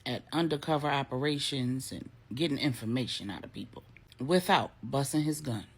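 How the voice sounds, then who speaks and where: Woman narrator as gruff, guarded gangland man; curt slang, low husky register, audio story. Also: normal speed. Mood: matter-of-fact.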